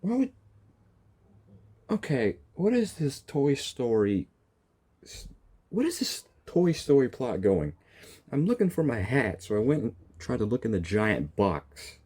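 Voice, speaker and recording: Reaction streamer: man, casual and slangy, dark relaxed tone with big pitch swings. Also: unhurried, long pauses, husky. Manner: joking, exclaiming, slangy. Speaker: gaming streamer. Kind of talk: casual game reaction commentary.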